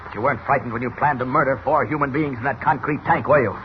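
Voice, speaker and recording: An American actor playing a mighty, fearless superhero in 1940s radio style, commanding, scornful and declamatory. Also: male voice, medium pitch, fast talker, close to an everyday voice. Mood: taunting, commanding. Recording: noisy, muffled old radio serial recording.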